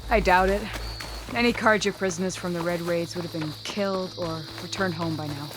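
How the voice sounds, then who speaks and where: A woman's voice: on the low side, steady tone, fairly quick, noisy background, natural voice. An actress playing a proud young female hunter in a video game, speaking earnestly with spirited bursts. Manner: earnest and wistful, with spirited outbursts. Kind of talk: video game cutscene voice acting. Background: game music score.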